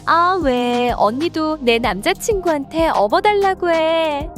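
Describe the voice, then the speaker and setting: A woman's voice: high-pitched, expressive, soft background music. A woman voicing a cheeky, whiny, immature younger sister in a radio drama. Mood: teasing whine. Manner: whiny, teasing, drawn-out.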